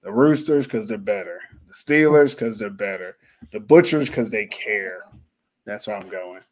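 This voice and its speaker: A man's voice: on the low side, expressive, normal speed, darkish tone. Male podcast host, loose and rambling, pokes fun at himself, easygoing sports banter. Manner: rambling, self-mocking, jokey. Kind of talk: casual sports podcast chat. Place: lives in Finland.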